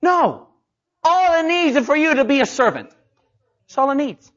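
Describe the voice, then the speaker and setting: A man's voice: very high-pitched, big pitch swings, a little slow, a bit bright, dull audio. A man preaching a sermon as a missionary, talking casually and rising emphatically on his key points. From Mexico.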